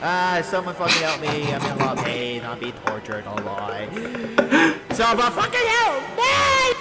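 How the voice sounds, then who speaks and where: A man's voice: a little high, wildly swooping pitch, moderate pace, over loud music. A man voicing a tormented, unhinged asylum inmate in exaggerated amateur game acting. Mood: desperate pleading.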